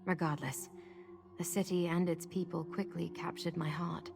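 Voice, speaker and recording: A fairly low female voice a guarded, troubled recluse in a fantasy video game. She speaks in a brooding, confiding way, weary and haunted by lost memory. Music plays clearly behind the dialogue. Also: flat delivery, normal speed. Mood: reflective.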